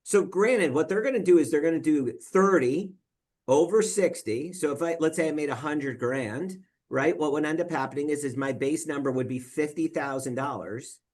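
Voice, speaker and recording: A man's voice: on the high side, moderate pace, a little mellow. A man presenting as a financial advisor, explaining step by step in a conversational way and often checking in with "right?". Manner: walks through worked examples step by step.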